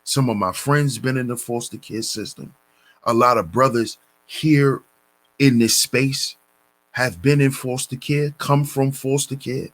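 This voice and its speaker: Slow, preachy male commentator. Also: mid-range voice, fairly even intonation, fairly bright tone, rough recording. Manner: deliberate, preachy, addresses listeners as brothers. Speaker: commentary channel host. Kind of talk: reading and commenting on a news story.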